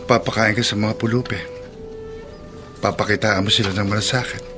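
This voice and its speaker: An actor playing a principled husband in a radio drama, with a measured, earnest, moralizing way of speaking. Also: male voice, lowish voice, steady tone, music in the background, natural voice. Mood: earnest reproach. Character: principled husband, earnestly counselling his wife. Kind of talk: sentimental radio drama acting.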